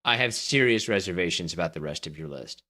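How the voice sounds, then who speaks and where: A man's voice: deep voice, expressive, brisk, sharp tone. Skeptical man debating movie picks. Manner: skeptical, argues his picks at length. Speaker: podcast co-host. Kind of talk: casual debate chat.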